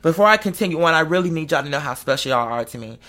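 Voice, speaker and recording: High, bright-voiced man, emphatic and slangy. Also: lively intonation, very fast. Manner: rambling, digressive. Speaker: online show host. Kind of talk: casual confessional chat to followers.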